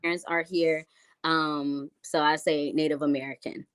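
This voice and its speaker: Deep-pitched female artist guest from New York City, loose and rambling in interview. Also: level tone, moderate pace, clean tone. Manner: rambling, hesitant with many fillers. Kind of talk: casual interview chat.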